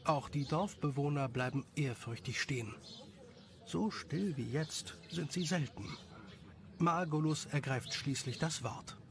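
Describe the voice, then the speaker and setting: Creaky-voiced male story narrator. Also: a little high, unhurried.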